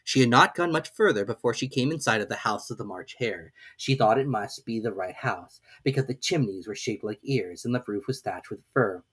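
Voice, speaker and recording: A reader giving an expressive scripted narration of a children's storybook. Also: medium pitch, moderate pace, hardly any pauses, slightly rough. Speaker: audiobook reader.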